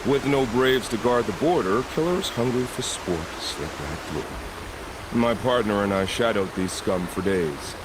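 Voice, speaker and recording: An actor playing an eerie, bloodthirsty killer in a video game, with silky, theatrical menace. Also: male voice, fairly low pitch, background noise. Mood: dark amusement. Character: eerie, bloodthirsty male killer who relishes hunting bandits. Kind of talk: video game dialogue acting. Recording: steady rushing outdoor ambience behind.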